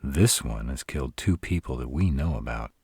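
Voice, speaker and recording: A man playing a protective, caring were-dragon with dry humor in an intimate one-sided roleplay audio drama, speaking in a casual, measured way. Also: animated, a little mellow, dry recording, heavily acted. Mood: serious, wary. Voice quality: very deep, husky. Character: gruff, protective male were-dragon, caring, dryly sardonic.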